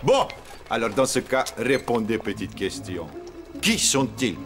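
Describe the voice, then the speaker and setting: A man's voice: mid-range voice, sing-song, measured pace, music in the background. An actor playing a sardonic villain's henchman in a French cartoon, with a Russian accent and mock-polite, theatrical menace. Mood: sardonic threat.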